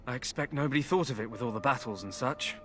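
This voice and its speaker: An actor playing a cheeky, scheming soldier jailed for desertion, wheedling and sly, in a video game. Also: male voice, medium pitch, animated, quick pace, a bit bright, slight background noise, close to an everyday voice. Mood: wry pleading.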